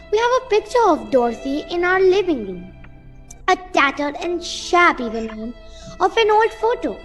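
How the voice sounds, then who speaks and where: A nervous, defiant village mother played by a woman in an amateur radio drama. The high voice moves slowly and haltingly, polite and proper, with faint music behind it. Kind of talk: amateur radio play acting.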